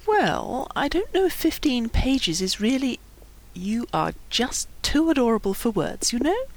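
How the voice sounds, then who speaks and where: Woman acting a quiet, sarcastic young woman. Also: on the high side, sing-song, unhurried, pitched above the performer's own voice, heavily acted. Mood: teasing, amused. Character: scornful, teasing young woman.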